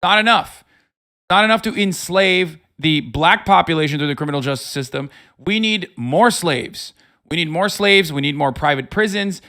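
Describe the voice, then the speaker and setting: A man's voice: on the high side, expressive, moderate pace, bright, forceful tone. A man giving unscripted live political commentary as a streamer, swearing freely and speaking in an emphatic, mocking way.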